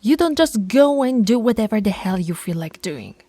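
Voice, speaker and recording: A stern female werewolf pack alpha, voiced by a woman in an ASMR audio roleplay. She gives orders and scolds, and at times drops to a soft whisper. Her voice is mellow and dark, in a middle pitch. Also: very animated, moderate pace, dry recording, faint music behind. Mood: scolding.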